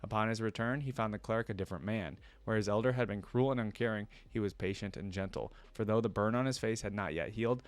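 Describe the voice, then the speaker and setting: A man's voice: on the low side, dry recording. A man hosting a reaction video, reading aloud and commenting casually.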